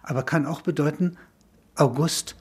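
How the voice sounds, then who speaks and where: A man in reflective intellectual conversation, musing in halting phrases punctuated with small affirming tags. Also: fairly high pitch, slightly rough. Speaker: interviewee, filmmaker.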